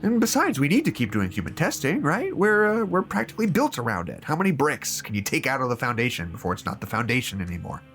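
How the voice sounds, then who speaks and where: A man's voice: medium pitch, big pitch swings, a bit bright. An actor playing a troubled, disillusioned male therapist in an audio drama, stammering and hesitating as he reasons aloud. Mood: bitter. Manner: halting, hesitant, stammering repeats.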